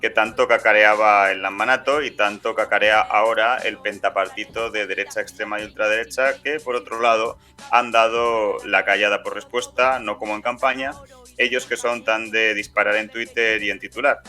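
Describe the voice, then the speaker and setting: Bright-voiced podcast host, a man. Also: fairly low pitch, steady tone, normal speed, soft background music. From Aragon, Spain. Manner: sardonic, rhetorical. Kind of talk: political podcast editorial and co-host banter.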